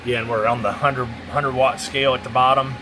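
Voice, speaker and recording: A man's voice: medium pitch, level tone, brisk. Man rambling casually, noisy recording. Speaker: radio seller demonstrating a CB radio. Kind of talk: casual hands-on product demo.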